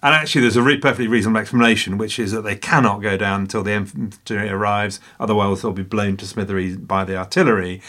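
A man's voice: on the low side, steady tone, fast talker, hardly any pauses, bright, forceful tone. A man co-hosting a film review podcast, making wry, dryly humorous remarks and acting out snatches of mock dialogue. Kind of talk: casual film review chat.

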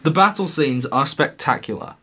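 A man hosting a relaxed film podcast. He talks on and on, leaning on fillers like "uh" and "kind of" as he builds his points. His voice sits at an ordinary middle pitch. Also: moderate pace. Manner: talkative, frequent fillers and hedges. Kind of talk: casual film review chat.

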